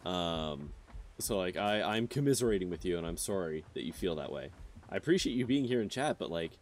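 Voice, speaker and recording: A man's voice: low-pitched, lively intonation, brisk, slight background noise. A man in his thirties streaming a game, chatting casually with his viewers and rambling with lots of fillers. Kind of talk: casual chat with chat while gaming.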